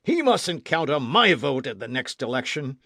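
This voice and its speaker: A man's voice: medium pitch, sing-song, normal speed, bright, forceful tone, no room sound, clean studio sound, heavily acted. A man voicing a fiery elderly male gun-club veteran who bellows indignantly. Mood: defiant.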